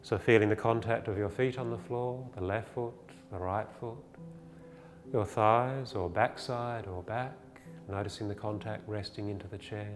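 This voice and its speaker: Low-voiced man guiding meditation in long reverb. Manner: gentle, soothing, unhurried instruction with pauses. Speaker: meditation teacher. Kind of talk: guided body scan meditation. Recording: long reverb, faint music behind.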